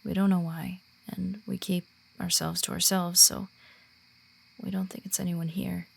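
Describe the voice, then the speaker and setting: Woman playing a husky, creaky-voiced friendly female werewolf guide. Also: fairly low pitch, little pitch movement, a little slow, darkish tone, exaggerated voice. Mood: confiding, concerned. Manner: reassuring, confiding, explanatory. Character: friendly female werewolf guiding a newcomer around the pack. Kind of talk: roleplay audio drama in ASMR manner.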